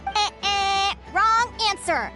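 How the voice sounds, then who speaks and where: A woman's voice: extremely high pitch, slow. A woman playing a perky young adventuring advisor in a video game, quizzing and encouraging her class. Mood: instructive, playful. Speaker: advisor and lecturer. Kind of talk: animated video game voice acting. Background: game music.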